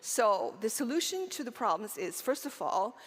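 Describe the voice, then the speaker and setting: A woman's voice: a little high, sing-song, normal speed. A middle-aged woman from Romania giving an earnest conference keynote, posing rhetorical questions to the audience and answering them herself. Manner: earnest, with frequent fillers and restarts. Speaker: keynote speaker. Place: conference stage in a large hall. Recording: long hall reverb on stage.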